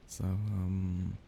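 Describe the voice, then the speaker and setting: A man playing a soft-hearted, nervous young mafia heir in an intimate ASMR romance roleplay, speaking softly, haltingly and apologetically. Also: low voice, gravelly, a little hiss or hum, heavily acted. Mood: shy, confessing.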